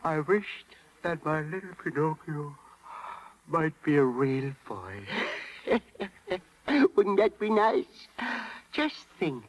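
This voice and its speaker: An actor playing a kindly, dreamy old woodcarver, doting and musing, in 1930s radio style. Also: male voice, on the high side, lively intonation, takes it slowly, pauses often, a crowd in the background, low-quality recording. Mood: tender, wistful. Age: older. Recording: vintage radio broadcast, music behind.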